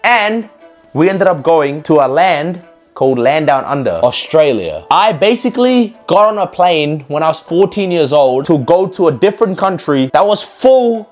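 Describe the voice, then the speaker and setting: A young man from Pakistan tells his story to camera in a lively, joking, slangy way. His voice sits fairly high and jumps up sharply on punchlines. Faint music plays underneath. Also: moderate pace. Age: young adult. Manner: animated, jokey, slangy, with swings in pitch. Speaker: vlogger. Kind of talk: casual storytelling.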